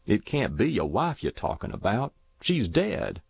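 A man's voice: lowish voice, huge pitch swings, measured pace, gravelly, slightly rough, no room sound, full character voice. A man voicing a solid, blunt farmer with a rural drawl. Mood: astonished. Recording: dull muffled dry recording.

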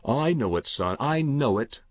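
A man's voice: medium pitch, lively intonation, unhurried, no echo, dull audio, close to an everyday voice. A man reading aloud, voicing a wry, indulgent middle-aged uncle who talks in a dry, questioning way.

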